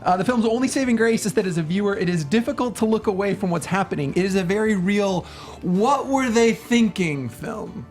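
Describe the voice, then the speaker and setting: A man's voice: high voice, animated, a little fast, darkish tone. A man co-hosting a film review panel, bantering casually in a wry, quippy way over music. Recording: music playing behind the talk.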